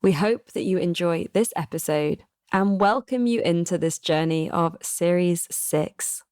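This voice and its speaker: A woman hosting a podcast, reading a scripted welcome in a calm, measured, earnest way. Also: fairly low pitch. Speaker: podcast host.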